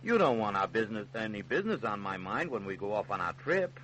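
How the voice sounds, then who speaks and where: Animated young bridegroom-to-be. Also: male voice, fairly low pitch, fast. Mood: easygoing, fond. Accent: American. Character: amiable, devoted young bridegroom-to-be. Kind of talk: old-time radio drama acting. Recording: noisy old radio drama recording, hum.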